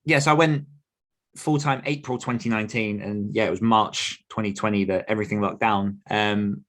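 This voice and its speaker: A man's voice: lowish voice, steady tone, moderate pace, a bit bright. A British man in his thirties talking casually as a podcast guest, chatty and anecdotal in manner. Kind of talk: casual conversational interview.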